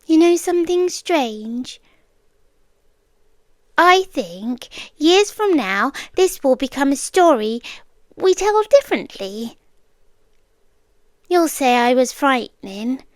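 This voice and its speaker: A possessive British girlfriend, acted by a woman, murmurs in a high voice, close and dry on the mic. She takes her words very slowly and often sinks into whispers. It is intimate ASMR roleplay, sweetly devoted with quiet menace underneath. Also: very animated, no room sound, full character voice. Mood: wistful, unsettling. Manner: slow, hushed, deliberate, often slipping into whispers. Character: soft-spoken obsessive yandere girlfriend, gently menacing captor. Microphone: close microphone, dry.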